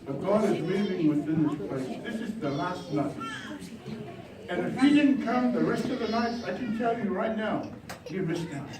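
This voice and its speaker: High-voiced pastor in an echoey hall. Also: male voice, animated, moderate pace. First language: Samoan. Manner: rousing, swings from soft asides to shouted exhortation. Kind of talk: revival sermon with bilingual asides. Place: church hall. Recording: echoey hall, distant mic, background noise.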